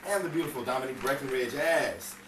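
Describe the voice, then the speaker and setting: A man's voice: medium pitch, expressive, fast talker. A man reading cast credits as a sign-off in the style of an old-time radio announcer. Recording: roomy, distant, noisy recording.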